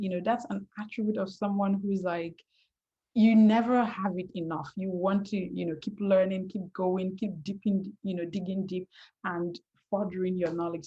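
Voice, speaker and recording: A woman interview host who heaps warm praise and eager questions on her guest. Her voice is mid-pitched and comes through a slightly dull, lo-fi recording. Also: slightly rough. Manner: enthusiastic and encouraging, effusive praise. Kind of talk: casual interview chat.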